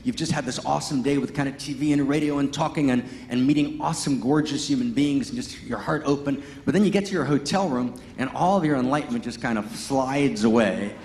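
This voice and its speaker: A man's voice: mid-range voice, a little fast, few pauses, darkish tone, a little hiss or hum. A man whose first language is Hebrew and who grew up in Massachusetts gives a Sunday sermon as a guest teacher. He tells it as a loose personal story, full of "you know" and "right?", and builds to emphatic passages. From Pittsfield, Massachusetts, US. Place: large church hall. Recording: echoey church hall with faint ambience.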